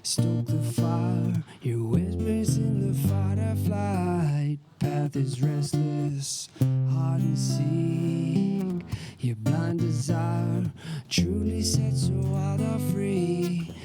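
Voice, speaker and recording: A woman's voice: fairly low pitch, very slow. A woman singer performing a song with guitar accompaniment. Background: acoustic music, guitar.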